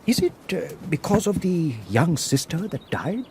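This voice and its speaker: A wistful, gracious ruler. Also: male voice, on the high side, sing-song, measured pace, a little hiss or hum. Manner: gentle, wistful, hesitant. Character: older male Indian ruler, troubled, gracious. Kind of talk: radio drama acting.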